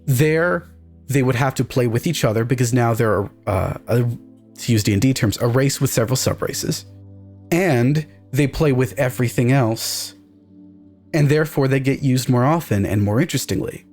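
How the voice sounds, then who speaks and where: A man's voice: mid-range voice, moderate pace, a little mellow, soft background music. A man speaking as a podcast guest, discussing fantasy games in an emphatic, candid, opinionated way. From Canada. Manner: emphatic, candid, swears casually. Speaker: podcast guest, game writer.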